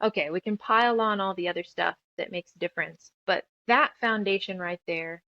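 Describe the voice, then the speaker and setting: Dry, slightly muffled recording, lower-pitched woman from New Mexico, earnest, emphatic, halting phrases, conversational. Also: no echo. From New Mexico, United States. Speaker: interview guest, author and radio show producer. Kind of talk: casual interview conversation, personal reflection.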